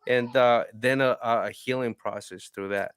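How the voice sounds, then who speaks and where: A man talking in a casual podcast conversation, reflective and halting, often restarting his sentences. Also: mid-range voice, fairly even intonation, smooth, no room sound.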